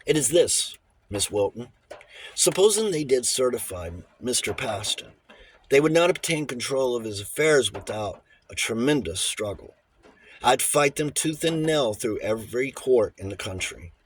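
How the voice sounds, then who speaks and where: A man's voice: medium pitch, lively intonation, moderate pace, slight background noise, close to an everyday voice. A man voicing a little old bachelor lawyer in an audiobook, formal and precise, shifting between diffidence and relish for a fight. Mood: confident, combative. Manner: hesitant stammers giving way to precise, emphatic pronouncements.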